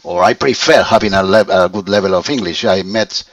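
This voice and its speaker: A Spanish-accented man in his fifties works through his English sentences slowly, restarting often and filling gaps with "uh". He sits back from the microphone on a call, so his voice sounds distant. The sound is dry, with little room to it. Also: deep voice, no room sound. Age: 50s. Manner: hesitant, many restarts and fillers. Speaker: language student. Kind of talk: conversation practice over a call.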